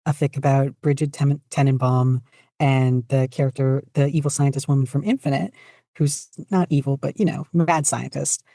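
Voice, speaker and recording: A woman's voice: extremely low pitch, steady tone, moderate pace, gravelly, warm tone, dry recording, studio quality. A woman chatting casually as a podcast guest, rambling along with self-deprecating humor. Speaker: podcast guest, fanfiction writer. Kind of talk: casual chat.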